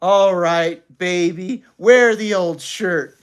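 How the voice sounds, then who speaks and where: A woman voicing a rude storybook father who bickers like a child, loud, gloating and petulant. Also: on the low side, takes it slowly, clear, resonant tone. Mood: scornful.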